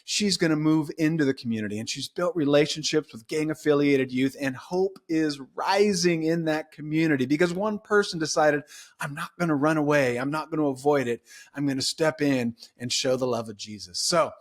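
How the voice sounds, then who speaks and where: A man's voice: on the high side, normal speed. A middle-aged man from California hosting a faith podcast, chatting with asides as he interviews his guest. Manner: conversational, asks questions, rambling asides. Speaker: podcast host.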